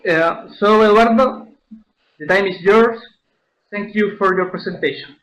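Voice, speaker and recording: A man's voice: sits high, measured pace, fairly bright tone, a little hiss or hum. A man introducing a speaker as the host of an online webinar, in a prepared, orderly way. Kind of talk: scripted event introduction. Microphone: online webinar connection. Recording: dull, muffled webinar audio.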